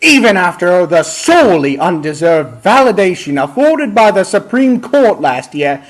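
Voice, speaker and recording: High-pitched man on a theatrical, scornful rant. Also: poor audio. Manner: exasperated, emphatic, drawn-out delivery. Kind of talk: profane comedic rant read from a script.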